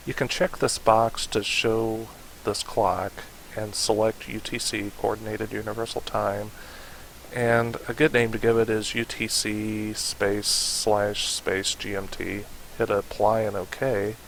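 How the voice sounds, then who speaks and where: A man narrating a casual software tutorial, informally thinking aloud as he demonstrates, in a hissy home recording. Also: on the low side, little pitch movement, slow, vocal fry, rough recording. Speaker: tutorial host.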